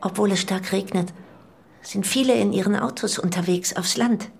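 Husky, uneasy wife. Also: female voice, mid-range voice, moderate pace. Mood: uneasy, solicitous. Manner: hesitant, solicitous. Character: uneasy, apologetic wife visiting her sick husband. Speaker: actress. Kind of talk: radio drama acting.

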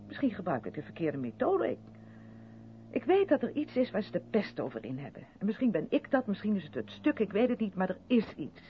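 A narrow, hissy vintage radio recording carries an actress as an earnest, defensive woman of the theatre. Her mid-pitched voice moves animatedly as she insists and justifies herself in radio drama style. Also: very animated, brisk, lightly acted. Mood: troubled, pleading. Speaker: radio play actor. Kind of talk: Dutch 1970s radio drama acting.

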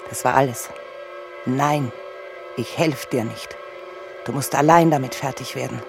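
An actress in a German radio play voicing a bitter, defensive grown daughter who accuses and anguishes. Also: female voice, very deep voice, measured pace, vocal fry, exaggerated voice. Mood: bitter, accusing. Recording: music behind the voice. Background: music.

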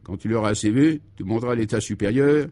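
A man's voice: lowish voice, quick pace, dark tone, no echo, close to an everyday voice. A man playing a bossy conspirator in a comic radio play, commanding, pompous and exacting as he gives absurd orders. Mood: commanding, secretive.

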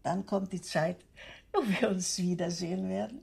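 A woman playing a serene, warm-hearted elderly widowed aunt in a German radio drama, with a husky, creaky voice. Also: lowish voice, fairly even intonation, normal speed, heavily acted. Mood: fond, serene.

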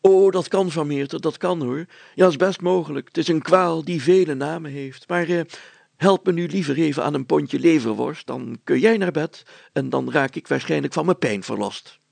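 A pompous, wheedling village mayor from a children's storybook, put on by a male reader. He blusters loudly in a raised, husky voice, doubling his phrases with grand exclamations. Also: a little high, moderate pace, dry recording, pitched above the performer's own voice. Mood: flustered, pompous. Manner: pompous, exclamatory, repeats himself.

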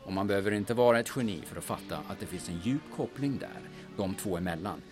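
A man's voice: fairly low pitch, over music, natural voice. A man playing a pushy, self-important and overly polite auctioneer type in an audio drama. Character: smooth, intrusive auction-house man, self-important and ingratiating.

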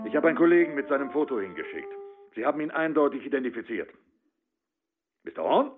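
An actor playing a dry, dismissive, matter-of-fact police inspector in a German radio crime drama. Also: male voice, medium pitch, sing-song, quick pace, narrow, tinny audio, close to an everyday voice. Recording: thin band-limited phone sound, loud music.

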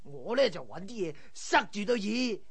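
An excitable night watchman in a comic radio skit, high and swooping. He repeats himself in a fluster, full of schemes, in with a gravelly edge. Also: moderate pace, heavily acted. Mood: eager, pleading. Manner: excitable, stammering repetitions.

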